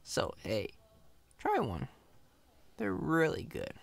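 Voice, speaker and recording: Man acting a chatty, cocky coworker, creaky and animated. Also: a little high, slow, no room sound, heavily acted. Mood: easygoing, friendly. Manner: casual, reassuring, playfully boastful. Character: chatty, cocky, friendly male company employee welcoming newcomer. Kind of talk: ASMR roleplay.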